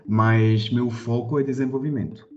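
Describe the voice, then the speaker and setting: A man's voice: mid-range voice, steady tone, moderate pace, muffled recording, faint music behind. A man in his thirties from France with a French accent, a guest on a podcast telling his career story hesitantly, with many fillers and restarts. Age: 30s. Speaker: guest, corporate director.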